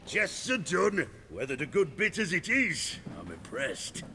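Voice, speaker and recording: A rough but canny bandit second-in-command in a fantasy game. He talks slowly and colloquially, wry and commanding, with animated pitch over clear noise. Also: full character voice.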